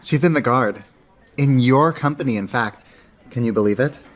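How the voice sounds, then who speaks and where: A man playing an earnest, romantic young gentleman in love, in a radio verse drama. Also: medium pitch, normal speed, close to an everyday voice. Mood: lovestruck. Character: lovestruck earnest young gentleman confiding in a friend. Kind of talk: radio theatre acting in verse.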